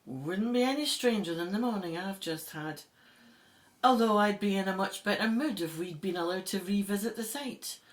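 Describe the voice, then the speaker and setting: A woman's voice: mid-range voice, normal speed, close to an everyday voice. A woman playing a spirited, wry, plain-spoken Egyptologist in an audio drama. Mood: weary, wry.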